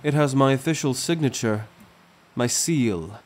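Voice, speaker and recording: Man playing a slow-speaking, courtly merman prince. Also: medium pitch, close to an everyday voice. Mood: smooth persuasion. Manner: gentle, teasing, courtly. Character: confident, affectionate merman prince, gently courting his captive. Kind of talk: ASMR roleplay acting. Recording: music under the voice.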